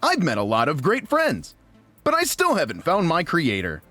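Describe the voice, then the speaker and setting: A man's voice: a little high, wildly swooping pitch, moderate pace, bright, forceful tone, soft background music, full character voice. A man voicing a cheerful, naive, friendly robot who narrates an audiobook in an earnest, guileless way. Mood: earnest, upbeat.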